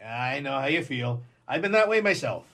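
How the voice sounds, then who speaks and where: A man's voice: mid-range voice, very animated, normal speed, a bit bright. A man playing a scolding but kindly policeman in a live radio comedy.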